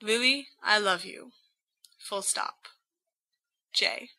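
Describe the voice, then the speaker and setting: Woman playing a bright, gushing lovesick young man. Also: mid-range voice, slow pace. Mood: playful, cheery. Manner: theatrical, gushing, cheeky. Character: flamboyant lovesick young man writing to his sweetheart. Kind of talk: dramatised reading of a letter.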